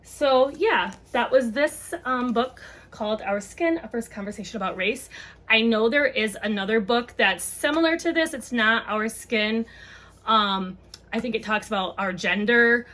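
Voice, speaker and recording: A woman's voice: on the high side, fairly bright tone. A woman reading a children's book aloud and chatting casually to parents, explaining things simply.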